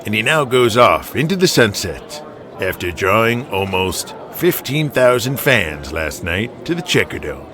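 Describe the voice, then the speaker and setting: A man's voice: on the low side, sing-song, unhurried, a crowd in the background. A man reading dramatic scripted narration for an audio drama, setting the scene in the present tense.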